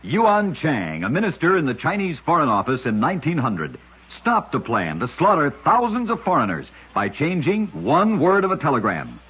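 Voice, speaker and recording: A husky man narrates scripted oddities as an old-time radio host. His voice moves with lively pitch in a measured, dramatic announcer's style. The sound is muffled and dated, like an aged broadcast recording.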